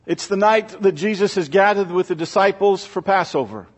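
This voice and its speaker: Higher-voiced male pastor in a reverberant space. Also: brisk. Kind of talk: scripture reading and sermon. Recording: muffled, reverberant large-room recording.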